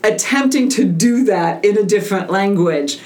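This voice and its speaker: A woman's voice: mid-range voice, lively intonation, normal speed, darkish tone. A woman hosting a podcast interview, affirming her guests and thinking aloud as she talks. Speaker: podcast host. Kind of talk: casual interview chat.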